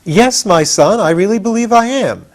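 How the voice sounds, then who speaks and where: Storybook lead: plucky, self-assured boy, played by a man, raised pitch, animated intonation, eager to explain. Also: normal speed. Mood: proud. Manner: eager, matter-of-fact explaining. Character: confident, explaining farm boy, proud and adventurous.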